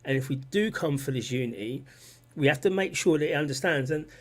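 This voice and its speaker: A man's voice: on the high side, fairly quick. A man hosting a casual livestream, rambling and repeating himself as he talks and reads out chat comments. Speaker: livestream host. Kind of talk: casual political livestream talk.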